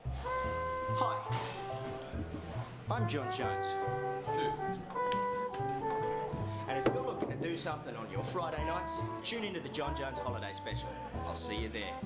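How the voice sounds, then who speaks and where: A man's voice: fairly high pitch, wildly swooping pitch, normal speed, pauses often, loud music behind the voice. A man acting a flustered, indignant minor-celebrity host in a scripted comedy show. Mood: presenting.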